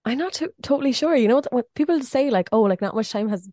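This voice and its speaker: Chatty podcast woman, voice a bit deep. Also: animated, dark tone, no echo, studio quality. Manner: chatty, quick, giggly. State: voice a bit deeper than usual after a night out. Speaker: podcast co-host. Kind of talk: casual chat. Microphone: podcast microphone.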